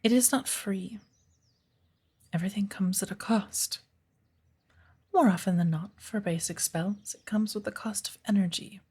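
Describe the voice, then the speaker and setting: Breathy, slow-spoken witch mentor played by a woman. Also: mid-range voice. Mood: earnest, instructive. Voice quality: soft, breathy. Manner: slow, measured, gently instructive. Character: patient, playful witch of the woods mentoring her apprentice. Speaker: ASMR roleplay voice actor. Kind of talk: soft ASMR roleplay.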